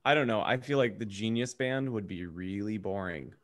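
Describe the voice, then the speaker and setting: Low-voiced man in halting casual talk. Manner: informal, halting, self-deprecating. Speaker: podcast co-host. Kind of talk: casual panel discussion.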